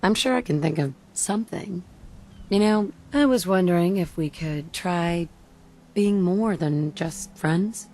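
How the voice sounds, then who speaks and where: A lowish-voiced, sincere female adventurer. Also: a little slow, a little mellow. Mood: tender, flirtatious. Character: supportive, affectionate female wasteland adventurer. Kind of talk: video game dialogue.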